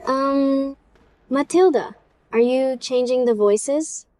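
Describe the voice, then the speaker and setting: A woman's voice: high voice, slow, a little mellow, no room sound. A woman chatting casually with friends in a gaming voice chat. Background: music.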